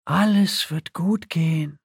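A man's voice: high-pitched, expressive, moderate pace, a little mellow, husky, no echo, clean studio sound, voice pushed up, heavily acted. A man voicing an insecure, anxious and resentful young female recruit with a hesitant, inward, self-doubting manner in an audiobook.

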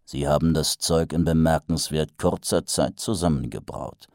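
A man voicing a weary, gloomy male watch captain who speaks slowly with dry sarcasm. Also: very deep voice, fairly even intonation, darkish tone, no room sound, voice pushed down. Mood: dry skeptical. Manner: slow, dry and sardonic.

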